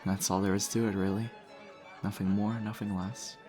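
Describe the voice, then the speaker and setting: A man's voice: lowish voice, little pitch movement, moderate pace, warm tone, lightly acted. A man playing a charming, teasing vampire host in an intimate, conversational roleplay style. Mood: modest, courteous. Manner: flirtatious, suave, conversational. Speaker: audio roleplay voice actor. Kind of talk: intimate ASMR roleplay acting. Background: background music.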